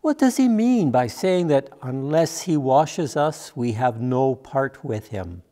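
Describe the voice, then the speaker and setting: A man's voice: mid-range voice, animated, mellow. An older man playing a troubled, devoted disciple of Jesus in a church monologue, reflective and questioning. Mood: puzzled. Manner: slow, reflective, questioning delivery. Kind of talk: dramatic monologue in a church service. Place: church sanctuary, at a pulpit. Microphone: gooseneck pulpit microphone.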